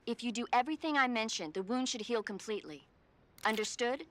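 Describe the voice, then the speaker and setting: An actress playing a medical advisor on the radio, calmly and patiently talking an injured agent through treating his wounds. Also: a little high, a little fast. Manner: calm, patient, instructive. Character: calm female field medic on radio. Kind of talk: video game cutscene acting.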